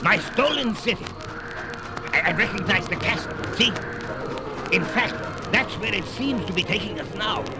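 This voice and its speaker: A high, swooping old wizard's voice, wry and stubborn, declaiming in a seventies fantasy audio drama. Loud music plays under the voice. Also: male voice, expressive, normal speed, poor audio. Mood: excited. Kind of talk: 1970s audio drama acting.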